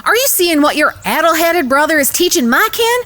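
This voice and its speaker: A fiery frontier woman, played by an actress in a Western radio play. Her voice is high and bright, swinging widely as she speaks with emphatic, protective force. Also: big pitch swings, fairly quick, full character voice. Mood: indignant scolding. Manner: scolding, emphatic, forthright. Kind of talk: Western audio drama acting.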